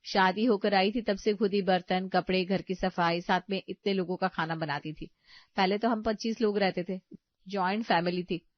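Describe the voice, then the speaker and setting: A woman's voice: medium pitch, steady tone, normal speed, no room sound, muffled recording, close to an everyday voice. A woman voicing a thrifty, exasperated housewife who complains and bargains emphatically in a comedy story. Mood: businesslike. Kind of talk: animated comedy story dialogue.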